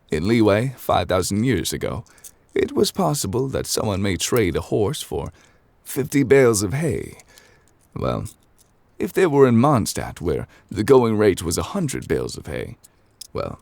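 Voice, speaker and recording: A man voicing a calm, scholarly, absent-minded ancient god in an ASMR audio roleplay. He speaks close to the microphone in a formal, measured, old-fashioned way and sometimes drops to near whispers. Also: lowish voice, vocal fry, dry recording, exaggerated voice.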